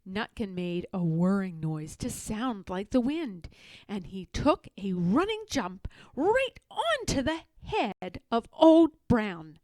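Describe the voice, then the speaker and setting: A woman reading a children's story aloud as an expressive narrator. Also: medium pitch, slow, dry recording. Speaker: storybook reader.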